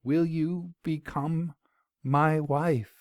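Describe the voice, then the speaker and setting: A man playing a lovestruck gentleman suitor in a story, speaking formally with solemn fervour. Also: fairly high pitch, fairly even intonation, extremely slow, dry recording, studio quality, pitched above the performer's own voice. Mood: bold, ardent. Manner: solemn, ornate, fervent.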